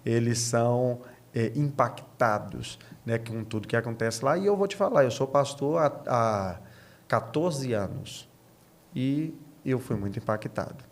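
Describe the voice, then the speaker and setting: A man's voice: medium pitch, animated, unhurried. A man of thirty-six with a Brazilian accent, a pastor speaking as a podcast guest, explaining earnestly and repeating phrases for emphasis. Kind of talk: podcast interview answers.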